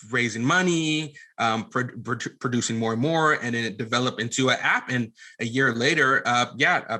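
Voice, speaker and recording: A man's voice: mid-range voice, sharp tone. A man talking casually as an interview guest, often restarting his phrases as he explains his work. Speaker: podcast guest, entrepreneur and audio drama producer.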